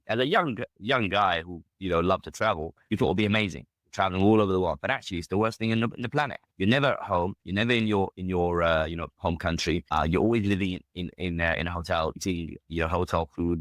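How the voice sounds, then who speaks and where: A British man in his thirties with a low voice speaks at a brisk clip. He is a podcast guest telling personal stories casually and emphatically, peppering them with conversational tags. The recording is close and dry. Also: fairly bright tone, no room sound. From United Kingdom.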